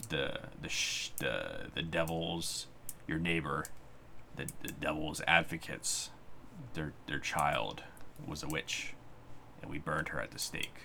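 A man running a tabletop game as game master, talking in a halting way with many pauses. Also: a bass voice, animated, creaky, sharp tone, a little hiss or hum. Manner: halting, full of uhs.

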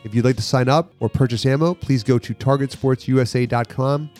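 A man's voice: medium pitch, steady tone, a little fast, a little mellow, faint music behind. A male podcast host with a slight cold and congestion, chatting casually and reading sponsor ads. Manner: loose and chatty, reads sponsor spots. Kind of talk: casual podcast chat with ad reads. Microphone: studio podcast mic, dry sound.

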